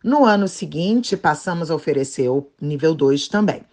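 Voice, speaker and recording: Measured Brazilian woman educator. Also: deep voice, expressive, a little mellow. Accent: Brazilian Portuguese. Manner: measured and orderly, lists items one by one. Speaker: Portuguese teacher and educator. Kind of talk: interview answer, steady prepared account.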